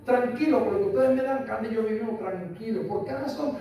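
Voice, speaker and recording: Older Puerto Rican pastor, high mellow voice, emphatic, echoey hall mic from far off. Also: male voice, normal speed, gravelly. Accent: Puerto Rican Spanish. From Puerto Rico. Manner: emphatic, repeats phrases, asks listeners "¿entiendes?". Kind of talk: sermon. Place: church hall. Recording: echoey hall, voice from far off, faint room noise.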